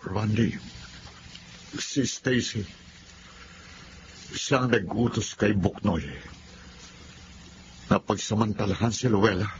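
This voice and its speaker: Radio drama role: grave Filipino confidant, slow lowish voice, weighty hesitation, noisy recording. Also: male voice, fairly even intonation, dull audio. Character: grave man breaking bad news, solemn.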